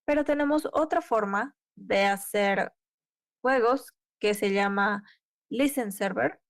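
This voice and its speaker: A woman's voice: mid-range voice, slow, dry recording, studio quality. A woman interpreting a conference tech talk live, keeping pace with occasional cut-off restarts. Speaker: simultaneous interpreter.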